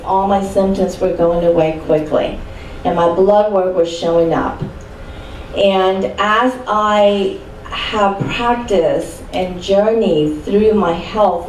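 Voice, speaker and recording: A woman's voice: mid-range voice, mellow. A woman in her sixties from Chile giving a personal testimony as a panel speaker at a live event. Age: 60s. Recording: roomy, distant mic, faint background noise.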